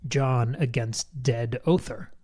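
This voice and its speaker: Man, soft mellow timbre, articulate, analytical, podcast book discussion. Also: medium pitch, slow. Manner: articulate, explanatory. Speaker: podcast co-host.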